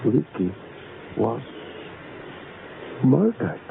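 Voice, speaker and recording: Shaken grave doctor, slow halting talk, radio drama, really hissy tinny sound. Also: male voice, mid-range voice, big pitch swings, creaky, full character voice. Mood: somber. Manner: halting, somber. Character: grave, shaken small-town male doctor.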